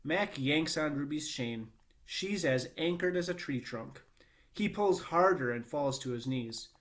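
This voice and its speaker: A man giving an expressive read-aloud narration of a children's novel. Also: fairly high pitch, measured pace.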